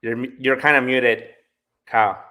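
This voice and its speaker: A man's voice: mid-range voice, fairly even intonation, normal speed, sharp tone. Guy with a spanish accent chatting on a live podcast, motivational, stresses his points. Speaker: solar sales entrepreneur, podcast guest. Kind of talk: casual live podcast talk.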